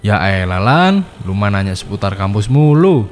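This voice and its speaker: A man playing a playful, teasing, slangy college student with colloquial Jakarta speech in an animated story. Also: fairly low pitch, moderate pace, in a large hall, soft background music. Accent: Jakarta colloquial Indonesian. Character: joking, laid-back slangy male college student. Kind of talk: animated horror story dialogue.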